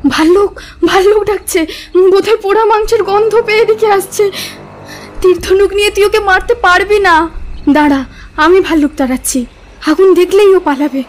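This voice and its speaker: A terrified young jungle woman from an audio story. Her voice is extremely high and tearful, pleading with an anguished wail. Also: steady tone, normal speed. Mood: urgent pleading. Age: young adult. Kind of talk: dramatized audio story acting.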